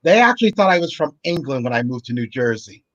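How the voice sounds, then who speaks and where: A man's voice: medium pitch, sing-song, quick pace, a bit bright, no echo. Man from boston area joking around on a live chat, dry muffled mic. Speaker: guest. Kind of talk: casual chat.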